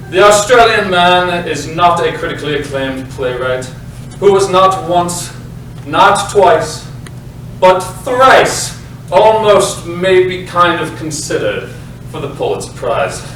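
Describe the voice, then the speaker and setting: A man performs a self-important British playwright addressing his audience in a grand theatrical monologue. His voice sits slightly high and he leans on melodramatic pauses. It is recorded from a distance in a very echoey room with faint noise. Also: fairly bright tone. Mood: boastful, ominous. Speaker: actor. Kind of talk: theatrical monologue at a staged reading. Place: live staged reading, echoey indoor space.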